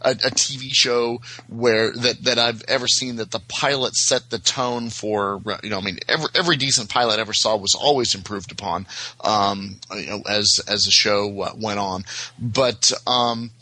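A man chatting casually as a podcast guest, halting, hedging and correcting himself as he goes. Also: lowish voice, steady tone, sharp tone, low-quality recording. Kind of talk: casual chat.

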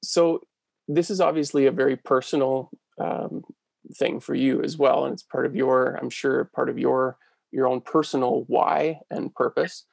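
A man hosts an interview and asks his guest about her story. His voice is gravelly, and the sound is dry and close. He is encouraging and affirming and leans on fillers. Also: medium pitch, steady tone, moderate pace, darkish tone, dry recording. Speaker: interviewer, host.

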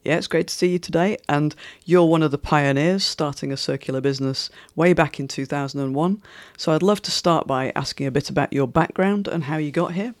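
A woman hosting a podcast, reading a scripted intro with an upbeat, measured delivery, then opening an interview. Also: sits low, no echo. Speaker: podcast host.

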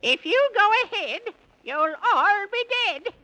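A woman's voice: big pitch swings, measured pace, sharp tone, full character voice, poor audio. A woman playing a gloating old witch who cackles and threatens in rhyme in a 1930s children's radio serial. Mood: menacing, gloating. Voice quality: shrill cackling. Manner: taunting, rhyming threats.

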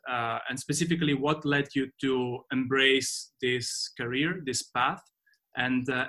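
A man hosting a podcast in a conversational interview style, speaking haltingly and often repeating phrases as he goes. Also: medium pitch, pushed and bright. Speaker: podcast host.

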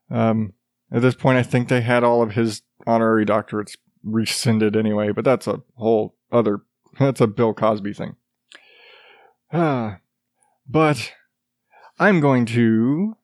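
A man hosting his own podcast in a relaxed, rambling way, with a soothing voice and a habit of swearing freely. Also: medium pitch, no echo, studio quality. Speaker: podcast host. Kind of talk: casual solo podcast chat.

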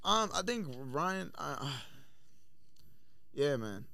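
Male podcast guest, boxing coach type: smooth, resonant, casual street slang, emphatic delivery, close dry sound. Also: sits high, very animated, slow pace, bright, forceful tone, no echo. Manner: slangy, profane, emphatic, often says "bro". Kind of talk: casual chat. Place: studio.

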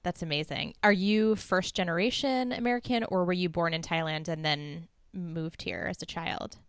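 A woman's voice: fairly low pitch, moderate pace, smooth, dry recording, clean studio sound. A woman hosting a podcast, asking questions and giving brief supportive replies. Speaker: podcast host. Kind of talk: podcast interview.

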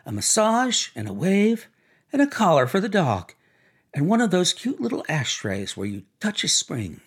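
A man voicing a brassy, gushing, excitable woman in an audiobook, with theatrical, affected chatter. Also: high-pitched, normal speed, slightly rough, pitched above the performer's own voice, exaggerated voice. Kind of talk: audiobook character voice.

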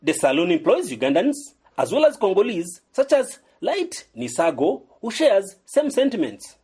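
A man's voice: high voice, huge pitch swings, normal speed, a little mellow, slightly rough. A man reporting a scripted radio sports feature, narrating between interviews.

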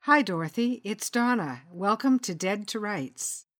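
A woman presenting and interviewing as a podcast host, speaking in a measured, articulate and polite way. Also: medium pitch, expressive, faint music behind.

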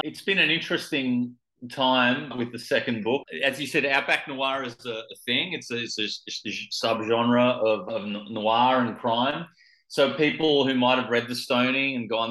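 Australian male author, mid-range pitch, thoughtful with restarts, reverberant room. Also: bright, forceful tone. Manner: thoughtful, with frequent restarts and repeated words. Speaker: author guest. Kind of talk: podcast interview answers.

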